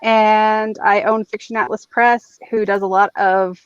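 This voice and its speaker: A woman working as an author and publisher, introducing herself in a brisk, matter-of-fact way. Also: medium pitch, level tone, clean tone, no room sound, soft background music. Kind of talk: self-introduction on a panel. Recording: dull dry lo-fi mic.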